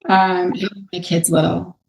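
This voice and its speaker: A woman's voice: lowish voice, little pitch movement, normal speed, creaky, low-quality recording. A middle-aged woman, a fitness trainer, answering interview questions in a hesitant, casual way and laughing often.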